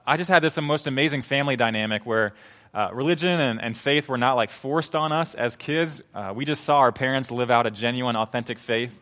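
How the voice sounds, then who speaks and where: A man's voice: mid-range voice, a bit bright. A young man giving a sermon as a pastor, earnest and conversational, with frequent fillers and restarts.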